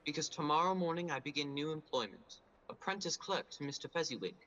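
An actor playing an awkward, earnest young clerk in a radio play, nervous and halting. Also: male voice, fairly high pitch, very animated, sharp tone, highs cut off, heavily acted. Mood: smitten.

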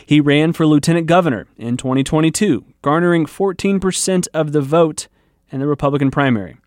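An American man reports the news in a fairly high voice. He reads scripted narration as a radio journalist, recorded close and dry with very little room sound. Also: fairly quick, darkish tone, dry recording.

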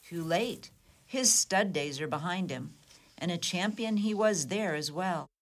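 Actress as sardonic, lower-voiced defiant woman. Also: expressive, unhurried. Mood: haughty, proud. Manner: wry, haughty, clipped retorts. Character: defiant, flippant, sardonic woman under police questioning. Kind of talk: comedic radio play dialogue.

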